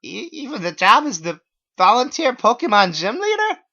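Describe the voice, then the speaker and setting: A man voicing a panicky, whiny, dumbfounded hero in a dramatic story reading, stammering and shouting in disbelief. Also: very high voice, huge pitch swings, moderate pace, dull audio, voice pushed up, heavily acted. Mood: panicked, frantic. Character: panicky, dumbfounded male protagonist, pleading and whiny.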